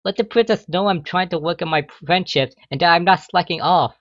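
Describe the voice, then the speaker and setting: A man's voice: high-pitched, fairly quick, a bit bright, no echo, highs cut off, voice pushed up. Man putting on an anxious, whiny young unicorn girl. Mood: pleading. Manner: pleading, flustered, protesting. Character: anxious, whiny young female unicorn student, exasperated with her mother.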